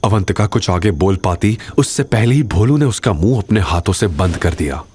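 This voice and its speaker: Low-voiced man narrating an audio drama. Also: lively intonation, moderate pace, a little mellow, slight background noise.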